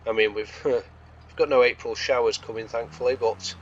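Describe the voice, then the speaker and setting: Croaky man chatting over farming gameplay. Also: medium pitch, fairly even intonation, normal speed, dull audio, slight background noise. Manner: chatty, rambling, thinking aloud. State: slightly hoarse from being unwell. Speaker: host of a gaming series. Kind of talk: casual gameplay commentary.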